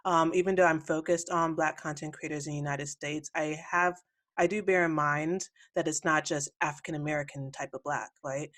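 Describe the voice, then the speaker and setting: Woman podcast host, lowish voice, measured and academic, dry close sound. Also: fairly even intonation, fairly bright tone, dry recording. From Nigeria. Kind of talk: interviewing, then scripted narration.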